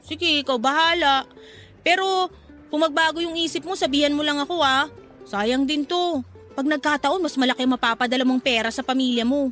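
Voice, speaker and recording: A woman's voice: sits high, brisk, a bit bright, soft background music. A woman playing a sassy, gossipy young shop worker in a Filipino radio-style drama, slangy and teasing. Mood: persuading.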